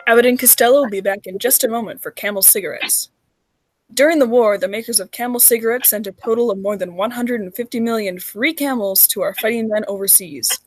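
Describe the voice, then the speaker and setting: A woman's voice: on the high side, a little fast, no echo, faint music behind, natural voice. A woman playing a polished, upbeat 1940s radio announcer reading scripted sponsor messages. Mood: polished, promotional.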